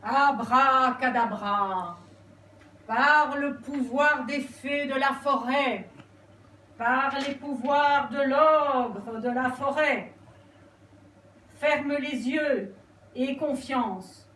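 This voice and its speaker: A kindly forest fairy casts her spells in a high, unhurried voice, played by a woman in a family stage play. Her delivery is slow and solemn. The recording is distant and echoey, with faint background noise. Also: bright, forceful tone, heavily acted. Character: kindly female forest fairy granting wishes.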